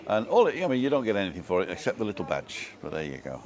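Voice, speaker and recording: A man from Manchester with a soft local English accent, hosting a casual live stream and chatting with viewers as he reads their comments. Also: fairly low pitch, sing-song, racing. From Manchester, England.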